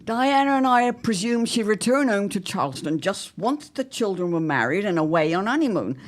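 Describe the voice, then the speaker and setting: A woman's voice: medium pitch, big pitch swings, normal speed, slight background noise, lightly acted. A woman playing a witty, protective middle-aged mother of the 1910s, genteel and wry, in a period audio drama. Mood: indignant, catty. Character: arch, witty, protective society mother in 1917.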